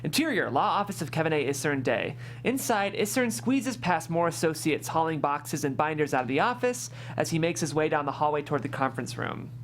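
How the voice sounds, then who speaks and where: A woman's voice: low voice, very animated, a little fast, hardly any pauses, faint music behind. A woman reading a screenplay's scene directions as scripted narration at a table read. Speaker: stage-direction reader.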